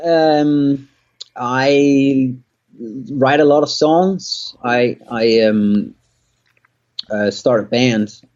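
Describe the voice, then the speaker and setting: A man from Denmark in his thirties chats as a musician guest, his pitch sitting at a middling level. He talks in a loose, rambling way, full of ums and restarts. The recording sounds dull and lo-fi. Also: drawn-out words, a little mellow. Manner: rambling, many fillers and restarts. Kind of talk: casual interview chat. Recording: dull lo-fi audio.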